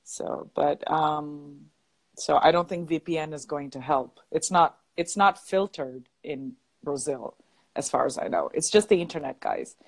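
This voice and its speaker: A woman's voice: deep voice, a little slow. A woman in her thirties hosting a casual live stream as an online English teacher from the United States, chatting with a guest and laughing often while talking to viewers. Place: small bright room indoors. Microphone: earphone headset mic.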